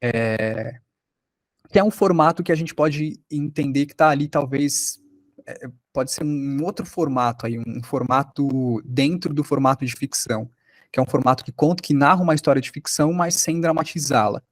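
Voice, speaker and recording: Brazilian male workshop teacher, explanatory and informal, mid-pitched, closely recorded with little room sound. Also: normal speed, no echo, studio quality. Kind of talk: informal online lesson.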